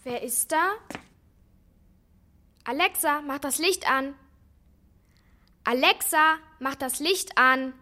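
A woman's voice: high voice, slow pace, long pauses, pushed and bright, full character voice. A woman playing a frank, know-it-all young girl, blunt and confident, in a children's radio play. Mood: startled. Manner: blunt, matter-of-fact, confident.

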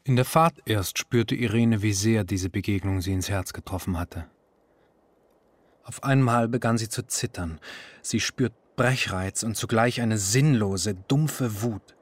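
An actor narrating a literary radio drama in German in a measured, sober way. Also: male voice, fairly low pitch, dry recording. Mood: grave.

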